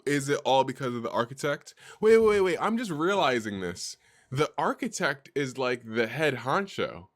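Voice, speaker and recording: A man live streaming and chatting excitedly, often repeating words in quick bursts. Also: mid-range voice. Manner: excitable, repeats himself rapidly.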